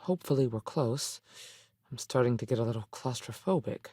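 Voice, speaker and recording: An actress playing a stern, dutiful senior space ranger in a video game, speaking in a firm, plain-spoken, authoritative way with folksy frontier phrasing. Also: female voice, extremely low pitch, animated, a little fast, warm tone, breathy edge, no echo, studio quality, exaggerated voice. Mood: subdued. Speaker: video game character voice actor. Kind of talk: game dialogue acting.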